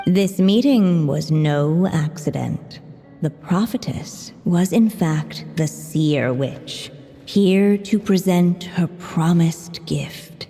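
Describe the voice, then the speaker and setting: A woman narrating a fairy-tale story in a gentle, hushed storybook manner. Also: lowish voice, takes it slowly. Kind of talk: storybook narration in a game cutscene. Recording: long reverb with soft music.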